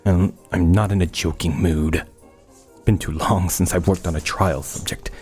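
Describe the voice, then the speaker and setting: A man's voice: low-pitched, fairly even intonation, moderate pace, a little mellow, soft background music, natural voice. A man playing an arrogant, obsessive young medical researcher in an audio drama, speaking in a pompous, formal way. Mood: brooding.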